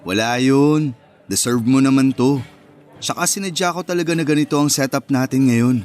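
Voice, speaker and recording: An actor playing an earnest, romantic young boyfriend in a Filipino radio romance drama, talking in a sincere, conversational way. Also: male voice, mid-range voice, normal speed, darkish tone, faint music behind, close to an everyday voice. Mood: casual, sincere.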